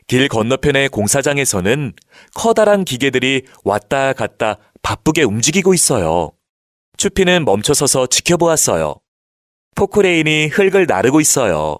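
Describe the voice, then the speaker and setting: A man's voice: on the high side, no room sound, studio quality. A man narrating a scripted children's story, telling it expressively for young children.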